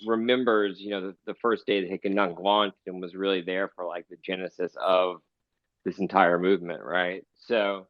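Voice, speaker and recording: A man with a fairly low voice conducts a casual interview. He phrases questions haltingly and restarts them often, and the online audio is dry and a little muffled. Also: level tone, dry recording. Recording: slightly dull online audio room sound.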